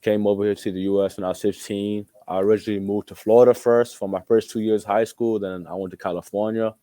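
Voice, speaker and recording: A man's voice: lowish voice, level tone, fast talker, dark tone, no echo. A young man from Australia, a college athlete being interviewed, talking casually with informal, repetitive phrasing. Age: young adult. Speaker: college basketball player, interview guest.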